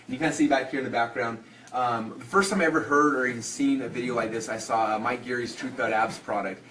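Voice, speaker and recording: A man's voice: medium pitch, fairly even intonation, fast, bright, forceful tone. A man giving an enthusiastic, unscripted customer testimonial with hesitant phrasing. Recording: distant mic with clear background noise.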